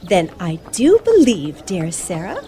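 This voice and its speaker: A woman's voice: on the low side, wildly swooping pitch, unhurried, warm tone. A woman playing a self-assured, shrewd teenage girl detective in an old-fashioned radio play, speaking precisely and formally. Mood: confident, scheming.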